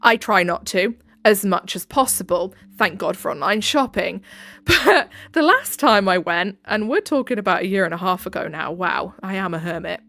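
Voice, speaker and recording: A woman's voice: mid-range voice, moderate pace, a bit bright, dry recording. A woman with a stuffed-up head cold, chatting casually between reddit posts with giggly, self-deprecating asides into a close microphone. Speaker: reddit story narrator. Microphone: close home microphone.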